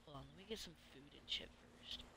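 A woman's voice: low-pitched, fast, noisy background. A woman streaming a game and chatting casually, hesitant and rambling, with free swearing. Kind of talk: casual livestream chat while playing.

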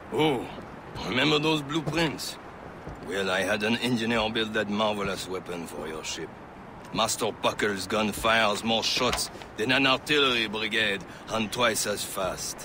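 A sly, friendly merchant, played by a man, with a low, smooth voice. He confides rather than declares, and outdoor noise sits behind him. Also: natural voice.

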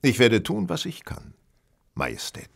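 An actor playing a shrewd, superior, world-weary detective in a German audio drama, sardonic and theatrical. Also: male voice, mid-range voice, huge pitch swings, measured pace, gravelly, breathy edge, full character voice. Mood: businesslike. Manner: sardonic, theatrical, self-assured.

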